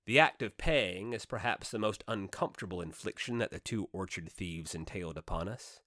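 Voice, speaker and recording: A man with a fairly low voice narrates an old literary novel in the first person. He reads with theatrical emphasis and deliberate dramatic pauses. The recording is close and dry, with very little room sound. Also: bright, forceful tone, no echo. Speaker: audiobook narrator.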